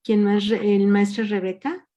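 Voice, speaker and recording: A woman's voice: mid-range voice, flat delivery, unhurried, dark tone, highs cut off. A woman leading an online workshop, patiently asking her group questions over a video call. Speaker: workshop leader.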